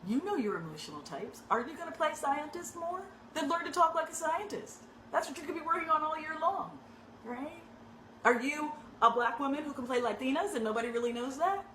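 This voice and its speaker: A woman acting coach giving direct, conversational tips to actors, often checking in with a quick "right?". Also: high-pitched, a little hiss or hum. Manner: conversational, frequent rhetorical "right?" tags; animated and emphatic. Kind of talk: direct teaching talk to actors. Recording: echoey room with faint ambience.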